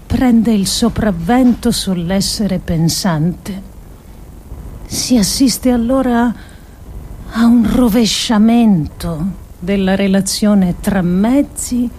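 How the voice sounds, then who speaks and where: An actress playing an earnest, grave woman thinker in a theatrical radio monologue, declaiming deliberately with weighty pauses. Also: medium pitch, warm tone, natural voice. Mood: grave, reflective.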